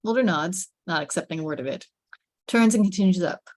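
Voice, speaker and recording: A woman narrates a screenplay at a table read in a slightly low voice. The sound is dry and close, and she phrases the directions with suspense and emphasis. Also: a little fast, darkish tone, no echo. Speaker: narrator reading stage directions.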